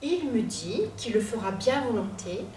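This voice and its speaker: A young woman from Corsica, telling her own story on stage, with dramatic pauses and self-mocking asides. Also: mid-range voice, expressive, darkish tone, slight background noise. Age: 20s. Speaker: student storyteller. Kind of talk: personal storytelling talk. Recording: echoey room, from a distance.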